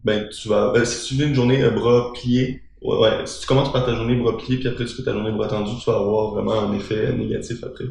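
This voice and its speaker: A man's voice: fairly low pitch, fairly even intonation, quick pace, creaky, few pauses, a little mellow, a little hiss or hum. A young man from Belgium, a calisthenics trainer being interviewed, explaining at length with many fillers and restarts. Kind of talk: casual interview talk. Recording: very echoey room.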